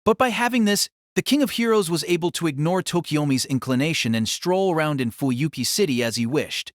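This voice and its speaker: A man's voice: fairly high pitch, animated, a little fast, dry recording, clean studio sound. A man reading scripted dramatic story narration as the narrator of an audio drama.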